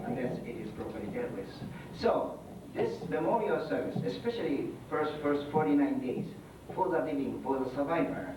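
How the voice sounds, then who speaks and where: Hesitant man speaking in an echoey hall. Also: medium pitch. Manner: halting, many fillers, non-native phrasing. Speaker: Buddhist priest speaker. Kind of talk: conference talk. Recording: echoey hall from far off, noisy.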